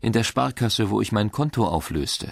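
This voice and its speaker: A man's voice: fairly low pitch, animated, normal speed, creaky, a crowd in the background. A man reading a scripted first-person voice-over for a documentary.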